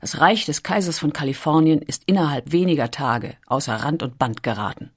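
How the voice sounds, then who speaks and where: Female narrator with a very low pitch, measured and dramatic, for scripted historical documentary storytelling. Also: slightly rough.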